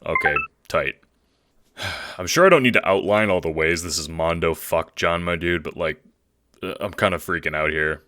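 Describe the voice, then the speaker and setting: Guy doing a slangy cool dude, very low forward voice, halting deadpan, audio drama. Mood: anxious, casual. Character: Dave, slangy laid-back young dude masking dread.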